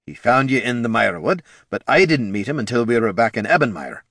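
A man voicing a kindly, earnest male dwarf adventurer with a gentle manner in a fantasy audiobook. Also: medium pitch, very animated, a little fast, dry recording, studio quality. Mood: soothing. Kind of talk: fantasy audiobook character dialogue.

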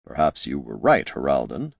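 A man voicing a cool, superior wizard with a measured, condescending manner in a fantasy storybook. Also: rumbling low, huge pitch swings, gravelly, no echo, pitched below the performer's own voice, full character voice.

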